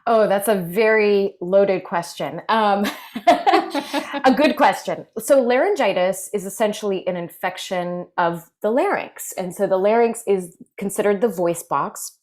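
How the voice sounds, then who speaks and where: A woman clinical voice specialist answers in an interview at medium pitch. She explains things in a measured, step-by-step way. Her speech is full of small fillers.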